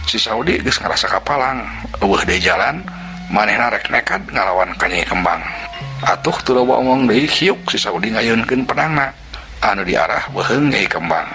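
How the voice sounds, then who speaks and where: A male storyteller with a low, gravelly voice reads a folk tale in a lively manner, full of sound words and dropping at times to a whisper. Music plays clearly underneath the whole narration. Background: background music.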